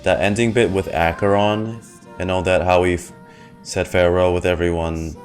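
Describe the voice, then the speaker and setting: A man's voice: low-pitched, level tone, moderate pace. A man streaming a game reaction, reading dialogue aloud and giving his commentary over game music.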